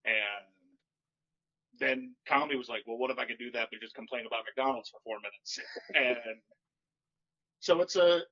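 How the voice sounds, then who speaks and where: A man who does stand-up comedy, talking with wry, deadpan storytelling in a fairly monotone voice. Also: mid-range voice, normal speed, pauses often, lo-fi sound. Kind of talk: casual podcast chat.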